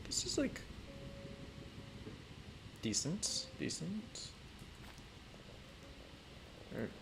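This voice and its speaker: A man chatting casually on a gaming stream, thinking aloud in a halting, rambling way with dry, self-deprecating humour. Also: lowish voice, vocal fry, noisy background. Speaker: livestreamer playing a building game.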